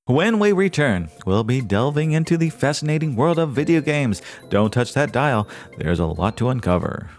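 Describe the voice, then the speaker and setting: A man's voice: mid-range voice, very animated, moderate pace, hardly any pauses. A man playing an earnest small-town radio host, delivering flowery, formal announcements in an audio drama. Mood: upbeat.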